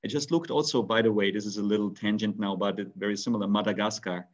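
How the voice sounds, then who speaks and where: A man's voice: fairly low pitch, steady tone, fast talker. Austrian man on a muffled video call. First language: German. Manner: thoughtful, many restarts and fillers. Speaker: guest speaker. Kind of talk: webinar talk. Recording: dull lo-fi video call audio.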